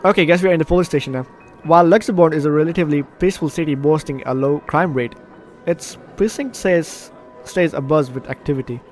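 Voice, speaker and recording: A man doing let's play commentary, reading game text aloud in an animated, slightly stumbling way. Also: fairly high pitch, a little fast. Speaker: video game streamer. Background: game music.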